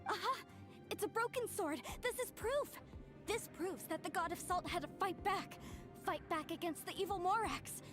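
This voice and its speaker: An earnest young woman from a game, formal and impassioned. Her voice sits high. Faint game music runs underneath. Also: moderate pace. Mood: excited. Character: earnest young woman, salt-trade descendant. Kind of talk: game voice acting.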